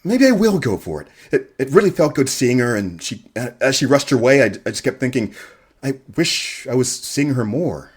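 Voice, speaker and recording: A man plays a modest, earnest radio host who is quietly smitten, in a radio comedy. The voice is husky, with lively intonation. He speaks in a hesitant, halting, understated way. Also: mid-range voice. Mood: smitten, hopeful. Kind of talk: radio comedy-drama acting.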